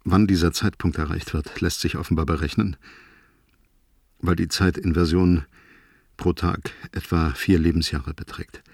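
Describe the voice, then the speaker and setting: A man's voice: deep voice, steady tone, moderate pace, creaky, a little mellow, husky, dry recording, clean studio sound, exaggerated voice. An actor playing a weary older crewman dictating a grave spaceship log in a radio play. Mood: grave, factual. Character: weary oldest crewman logging a spaceship emergency.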